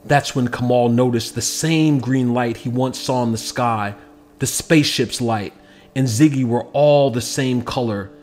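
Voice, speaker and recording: A man reading a children's picture book aloud as an expressive, scripted narration. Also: mid-range voice, measured pace.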